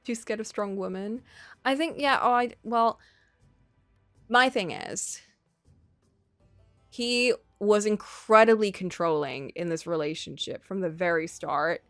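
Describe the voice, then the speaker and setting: A woman's voice: medium pitch, a little slow, pauses often, fairly bright tone, no echo, faint music behind. A woman streaming casual, opinionated commentary on a story game, thinking aloud in a conversational way.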